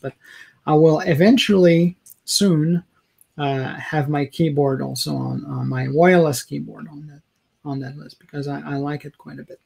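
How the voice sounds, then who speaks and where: Higher-pitched man, halting talker. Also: gravelly, a little mellow. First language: French. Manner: halting, searching for words, self-correcting. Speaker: video blogger. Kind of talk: casual opinion rant to camera. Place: small indoor room, home office.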